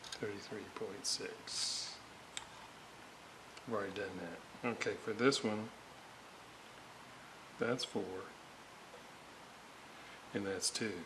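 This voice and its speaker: Guy muttering to himself while fixing stuff, lowish gravelly voice, halting, noisy recording. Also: steady tone. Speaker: radio restorer. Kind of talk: thinking aloud while working. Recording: clearly noisy workshop recording.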